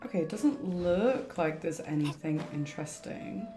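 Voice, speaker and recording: A woman's voice: deep voice, lively intonation, normal speed. A woman streaming a game with casual, rambling commentary, thinking aloud over game music. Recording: echoey room, faint game music. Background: faint game music.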